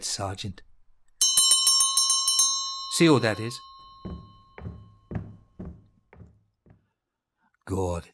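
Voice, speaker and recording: Husky, pompous dying dictator. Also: male voice, lowish voice. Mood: bitter resentment. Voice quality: husky, breathy rasp. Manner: pompous, theatrical, savouring words, drifting into murmurs. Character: deposed-feeling murderous dictator facing death, grandiose and bitter. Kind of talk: dramatic monologue in audio drama.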